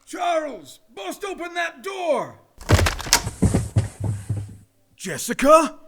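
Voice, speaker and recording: High-voiced stern commanding father, played by a man. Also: wildly swooping pitch, slow, very noisy, exaggerated voice. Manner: authoritative. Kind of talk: noir radio play acting.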